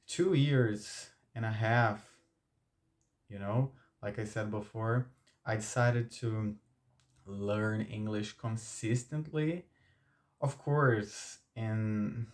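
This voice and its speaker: A man's voice: lowish voice, a little mellow. A young Brazilian man with a Brazilian Portuguese accent, a native Portuguese speaker, talking to camera for his channel in a hesitant way with many fillers and restarts. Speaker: YouTube channel host. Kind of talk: unscripted talk to camera.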